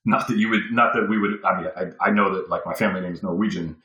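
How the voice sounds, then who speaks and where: A man's voice: low-pitched, steady tone, fast, bright, forceful tone, echoey room, muffled recording. A man talking as a podcast guest in casual, rambling talk with many fillers and restarts.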